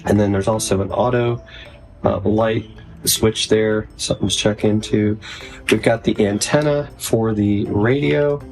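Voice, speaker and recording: A man's voice: lowish voice, steady tone, measured pace, soft background music. A man giving a casual, conversational product demonstration as a video reviewer.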